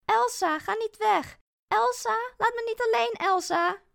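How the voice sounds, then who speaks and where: Woman doing a shrill, desperate mother in an audiobook; gravelly, dry. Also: very animated, moderate pace, dry recording, heavily acted. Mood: pleading. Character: frantic, unstable young mother clinging to her child. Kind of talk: audiobook character voice.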